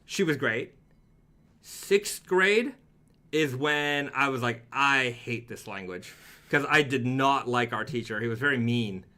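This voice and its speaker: Male live stream host, bright forward voice at a middling pitch, easygoing and rambling product chat. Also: slow pace.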